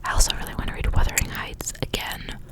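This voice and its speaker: Whispering woman, soft ASMR host. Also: fast. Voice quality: breathy, unvoiced whisper. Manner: soft, rambling, repeats words. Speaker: ASMR host reading books aloud. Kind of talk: whispered ASMR, reading and casual chat.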